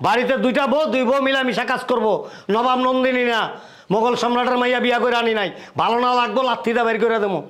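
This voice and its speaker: A man's voice: high voice, lightly acted. A man with a colloquial Bangladeshi accent playing a domineering, hot-tempered husband and elder brother who scolds bluntly in a family drama. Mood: angry, scolding. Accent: colloquial Bangladeshi Bengali. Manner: scolding, blunt, rapid-fire.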